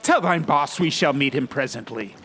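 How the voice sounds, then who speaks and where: A man's voice: fairly high pitch, lively intonation, normal speed, fairly bright tone, a little hiss or hum, voice pushed up. A man voicing an indignant, stern protector who declaims in archaic, theatrical style in an audiobook story, raised above the narrator's usual voice. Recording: music behind the voice.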